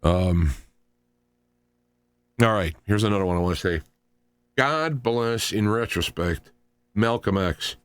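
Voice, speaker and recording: A middle-aged or older American man hosting a radio talk show, delivering an unscripted political rant in an animated, argumentative, emphatic way. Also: lowish voice, slow, long pauses, smooth, no echo.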